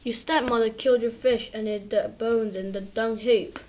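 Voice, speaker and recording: A woman voicing a gentle, wise, compassionate old man in a storybook read-aloud, soothing in manner. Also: fairly high pitch, steady tone, moderate pace, slight background noise, natural voice, poor audio. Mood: gently instructing.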